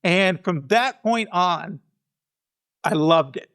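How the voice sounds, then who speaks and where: A man's voice: high-pitched, expressive, slow pace, a bit bright, smooth. A man speaking as an interview guest in casual conversation, earnest and given to repeating phrases for emphasis. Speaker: storytelling show producer and podcast guest.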